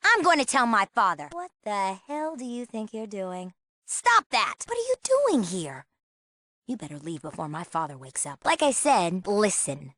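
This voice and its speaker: A woman's voice: on the low side, big pitch swings, brisk, hardly any pauses, a bit bright, dry recording, clean studio sound. A young woman playing a restless, sheltered small-town teenage girl in a video game, casual, sarcastic and easily exasperated. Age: young adult. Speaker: game character. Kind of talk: video game character dialogue.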